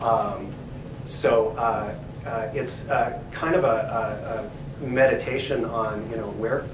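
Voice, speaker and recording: Man, lowish voice, halting speech, echoey room, distant mic. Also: background noise. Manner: hesitant, many fillers and restarts. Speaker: professor and co-editor. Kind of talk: library talk, answering questions.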